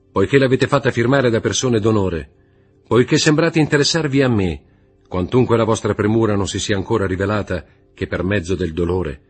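A man's voice: fairly low pitch, slightly rough, pitched above the performer's own voice. A man voicing a desperate young nobleman who pleads and cries out to learn who killed his father. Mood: pleading. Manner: pleading, rising to anguished cries.

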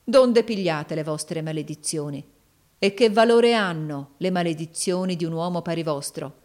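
A woman voicing a righteous, defiant young gentleman in an audiobook novel, emphatic, declamatory and commanding. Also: on the low side, normal speed, natural voice. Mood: stern condemning.

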